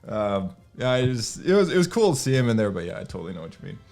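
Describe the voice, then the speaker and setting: A man's voice: fairly low pitch, very animated, fast, creaky, a little mellow. A man hosting a casual podcast chat, with a hesitant, meandering way of talking. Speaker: podcast host.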